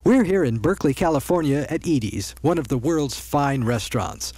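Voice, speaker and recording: A man's voice: fairly high pitch, lively intonation, moderate pace, darkish tone, breathy edge, no room sound. A man playing a confiding announcer in a parody radio coffee commercial. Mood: confiding, promotional. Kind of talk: parody hidden-camera ad voice-over.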